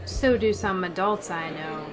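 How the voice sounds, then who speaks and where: A woman's voice: on the low side, a little slow, close to an everyday voice. A woman playing a long-suffering schoolteacher in an old-time radio comedy, with dry, sarcastic delivery. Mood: wry, brisk.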